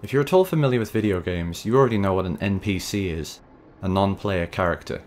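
A man hosting a video essay, reading scripted narration in a measured, wry, conversational way. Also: low-pitched, lively intonation, darkish tone, a little hiss or hum. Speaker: host and narrator of a video series. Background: background music.

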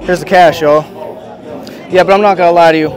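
Brief: young male vlogger, fairly high voice, relaxed slangy delivery, crowd and music loud behind. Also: brisk, low-quality recording. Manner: laid-back, slangy, swears often. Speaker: YouTuber host. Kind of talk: casual prank vlog chat. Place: indoor sneaker convention hall. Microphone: clip-on lapel mic.

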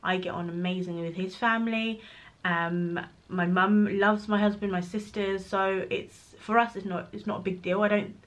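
A woman's voice: medium pitch, steady tone, normal speed. A woman, answering viewer questions in a casual vlog, frank and chatty, filmed close up in a small room at home. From Congo. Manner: chatty and frank, talking straight to camera. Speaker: vlogger. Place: bedroom nursery, indoors. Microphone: camera at close range.

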